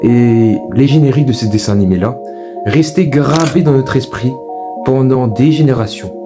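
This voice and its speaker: A man's voice: mid-range voice, moderate pace, over music. A young man hosting a video essay, giving informal, opinionated commentary in an emphatic, repetitive, colloquial way.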